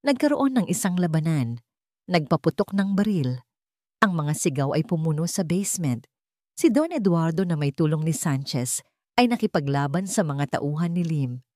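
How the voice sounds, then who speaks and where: A woman narrates a scripted dramatic story. Her voice sits a little low for a woman. The sound is very dry, with hardly any room around it. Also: very animated, moderate pace, warm tone, no echo.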